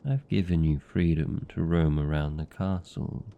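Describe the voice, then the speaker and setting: A man's voice: rumbling low, fairly even intonation, vocal fry, narrow, tinny audio, heavily acted. A British man playing a seductive, possessive vampire captor in an intimate fantasy ASMR roleplay, teasing and condescending in manner. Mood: coaxing, teasing. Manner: slow, teasing, condescending, velvety menace.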